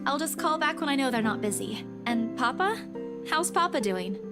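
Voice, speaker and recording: A woman playing an eager, dutiful young daughter in visual novel dialogue, with an animated, reassuring manner. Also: high-pitched, moderate pace. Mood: cheerful, caring. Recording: loud guitar music behind. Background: guitar music.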